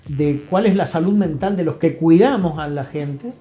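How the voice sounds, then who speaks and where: A man's voice: fairly high pitch, moderate pace, dark tone. An older man with an Argentine accent, speaking reflectively and emphatically as a guest therapist, explaining things didactically and repeating key phrases. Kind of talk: reflective interview talk. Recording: roomy echo, faint music.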